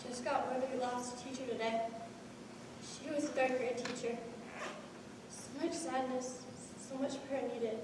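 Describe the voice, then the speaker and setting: Live play: grieving friend female actor, high, pleading, drawn-out lines, far from the mic. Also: steady tone. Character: grieving woman mourning her best friend. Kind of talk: dramatic stage monologue. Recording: very echoey hall, distant mic, noisy.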